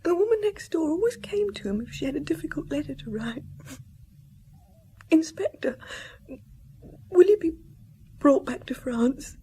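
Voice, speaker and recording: An actress playing a distraught young widow and mother, fragile and halting, in a radio drama. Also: high-pitched, animated, mellow, a little hiss or hum, low-quality recording. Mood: subdued, then anxious, tearful.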